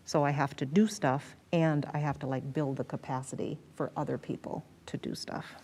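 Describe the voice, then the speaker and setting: A woman's voice: low voice, steady tone, normal speed, warm tone. An American woman, a school district superintendent, explaining things in a meeting presentation and repeating words for emphasis. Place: school board meeting room.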